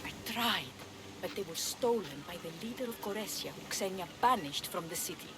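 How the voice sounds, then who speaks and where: An actress playing a sly, flippant pirate schemer in a video game, with a teasing, casual way of talking. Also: female voice, mid-range voice, lively intonation, moderate pace, close to an everyday voice. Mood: eager, scheming. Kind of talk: video game cutscene dialogue acting. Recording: clear background ambience noise.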